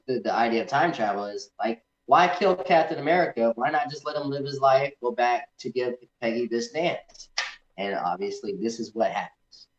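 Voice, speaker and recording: Male review co-host, informal and enthusiastic, average pitch, roomy and slightly muffled recording. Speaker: podcast host. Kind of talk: casual film review chat. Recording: echoey room, slightly dull sound.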